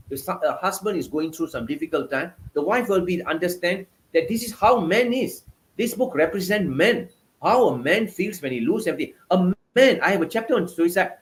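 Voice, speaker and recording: A man's voice: sits high, animated, moderate pace, low-quality recording. A man with a Malaysian accent, a guest author giving passionate, emphatic interview answers over a video call. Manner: passionate, emphatic, repetitive phrasing.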